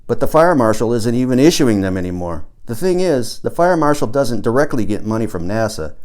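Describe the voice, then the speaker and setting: A man's voice: medium pitch, big pitch swings, brisk, darkish tone, close to an everyday voice. A man voicing a brash, boastful rocket builder in an audiobook, ranting in a combative, folksy, emphatic way. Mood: indignant, defiant. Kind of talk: audiobook character dialogue.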